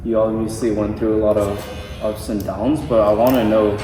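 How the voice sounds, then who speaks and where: A man's voice: lowish voice, little pitch movement, normal speed, warm tone. Young man hosting in an echoey room. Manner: loose, rambling questions full of "like". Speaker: podcast host and barber. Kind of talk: casual interview. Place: barbershop. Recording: echoey room, distant mic, faint noise.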